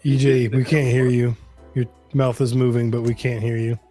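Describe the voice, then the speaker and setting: A man with a mid-pitched voice talks in a dry, self-mocking way. He chats casually as a game contestant, with faint music underneath. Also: little pitch movement, normal speed, mellow. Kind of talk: casual chat.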